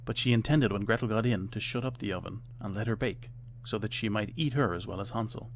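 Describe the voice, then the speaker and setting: A man's voice: fairly low pitch, fairly quick, slight background noise. A man reading a fairytale aloud as scripted storybook narration.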